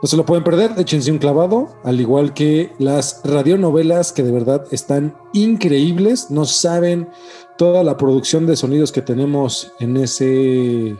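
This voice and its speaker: Mellow Mexican man hosting a podcast. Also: on the high side, measured pace, faint music behind. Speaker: podcast host. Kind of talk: casual podcast chat with announced intro.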